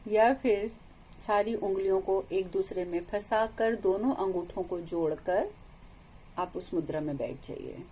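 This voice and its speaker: Slow-paced Indian woman guiding meditation. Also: medium pitch, poor audio. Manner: calm, instructive, with long pauses between phrases. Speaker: meditation teacher. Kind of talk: guided meditation instruction with short chants.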